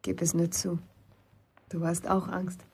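A young woman playing a pert, impulsive city girl in a German radio drama, frank and questioning, with a husky voice. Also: fairly low pitch, completely flat delivery, measured pace, warm tone, full character voice. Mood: teasing, wistful.

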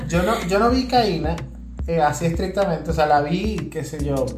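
A man's voice: fairly high pitch, moderate pace. A Venezuelan man co-hosting a casual podcast, explaining things in a hesitant way and often repeating words as he goes. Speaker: podcast co-host. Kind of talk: casual conversational commentary. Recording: roomy echo, music behind.

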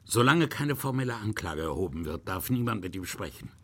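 Gruff scotland yard cop, low raspy-husky voice, sombre and blunt, radio crime play. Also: male voice, sing-song, a little fast. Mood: grim. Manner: grave, blunt. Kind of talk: German radio crime drama acting.

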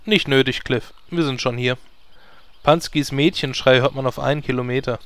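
A sardonic sixtyish hero, acted by a man in a comedy audio play. He speaks at a medium pitch, dry and long-winded in his reasoning. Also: fairly even intonation, moderate pace, lightly acted. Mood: cocky. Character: sardonic sixty-year-old male hero.

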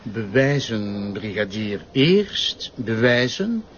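A man playing an authoritative, unbending public prosecutor in a crime drama, formal and measured. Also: lowish voice, big pitch swings, highs cut off, slight background noise. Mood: firm. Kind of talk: Flemish television crime drama acting.

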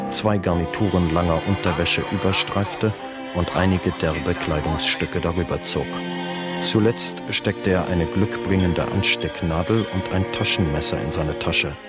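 Male narrator, radio drama: low, measured, deadpan, strong musical backing.

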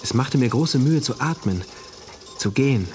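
A man's voice: medium pitch, lively intonation, normal speed, a little hiss or hum, close to an everyday voice. A man acting an anxious, introspective narrator in a German dystopian radio drama, telling it in brooding short phrases. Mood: uneasy, observant. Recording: loud music behind the voice.

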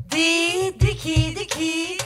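Very high-pitched woman chanting slowly. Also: flat delivery, over loud music. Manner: repetitive, slow, chanting. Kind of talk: rhythmic sung and chanted stage refrain.

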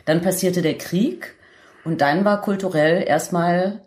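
Low-voiced woman hosting radio talk. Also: measured pace, darkish tone. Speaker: radio talk show host. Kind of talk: conversational radio interview in German.

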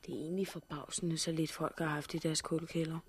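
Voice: medium pitch, flat delivery, breathy edge, lightly acted. An actor playing a practical, questioning companion in a 1960s radio drama. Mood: skeptical.

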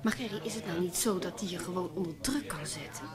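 An actress playing a vain, ambitious young journalist who flatters and probes, in a radio drama. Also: female voice, lowish voice, fast talker, a crowd in the background, natural voice. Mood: flattering, probing. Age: young adult. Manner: flattering, inquisitive. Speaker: actor.

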